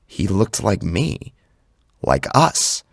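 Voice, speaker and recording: A man's voice: fairly low pitch, very animated, drawn-out words, creaky, no echo. A man narrating a scripted first-person horror story dramatically, close on the microphone. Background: background music.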